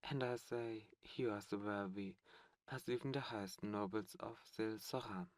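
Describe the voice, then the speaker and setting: A man's voice: on the low side, normal speed, no echo, lightly acted. A man playing a haughty demon prince in a romantic fantasy ASMR roleplay, speaking formally, flirtatiously and with command. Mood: seductive, possessive. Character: haughty, seductive demon prince, courtly and possessive.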